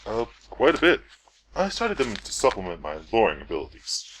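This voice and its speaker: Low, lilting, composed lawyer played by a man. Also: huge pitch swings, brisk, a bit bright, a little hiss or hum, low-quality recording. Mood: calm. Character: calm, composed, self-assured male lawyer suspect. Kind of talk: amateur radio play acting.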